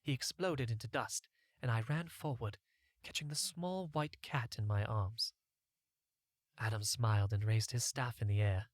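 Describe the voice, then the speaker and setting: A man's voice: mid-range voice, a little slow, no room sound, studio quality. A man reading a scripted first-person story as an audiobook narrator.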